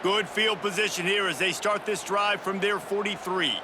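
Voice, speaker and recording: A man playing a video game's football play-by-play announcer, calling each snap in brisk, descriptive lines that lift on big plays. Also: high voice, fairly even intonation, very noisy, close to an everyday voice. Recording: game audio over loud crowd and music.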